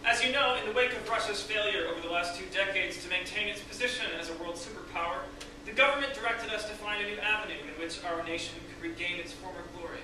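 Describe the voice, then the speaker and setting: Man acting a high-voiced smug scientist, echoey room. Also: quick pace, close to an everyday voice. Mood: proud, formal. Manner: formal, lecturing, condescending. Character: smug Russian male scientist, proud, coolly clinical. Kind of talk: staged script read-through. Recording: echoey room, distant mic, noisy.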